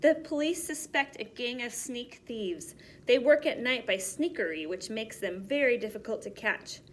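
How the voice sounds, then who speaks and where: A woman's voice: fairly high pitch, lively intonation, normal speed, close to an everyday voice. A woman voicing an earnest, polite, formal museum director, a grown man in a children's storybook. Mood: worried.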